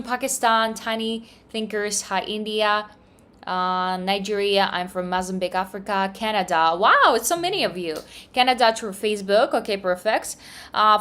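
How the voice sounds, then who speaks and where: A woman's voice: medium pitch, fairly bright tone. A woman hosting a casual livestream, reading out viewer comments in cheerful, slightly non-native English. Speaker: trading livestream host.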